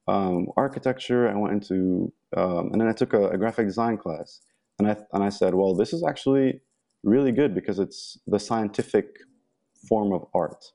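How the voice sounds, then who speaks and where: A man's voice: lowish voice, mellow. A young man from Egypt, a podcast guest talking casually with many ums and restarts. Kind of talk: casual interview talk.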